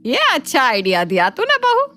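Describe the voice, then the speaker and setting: A woman's voice: high voice, huge pitch swings, moderate pace, bright, forceful tone, heavily acted. A woman voicing a scheming, middle-aged cartoon mother-in-law who scolds, coaxes and dotes on her daughter. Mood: coaxing, commanding. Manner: scolding, coaxing, commanding. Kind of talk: Hindi cartoon family drama acting. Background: faint background music.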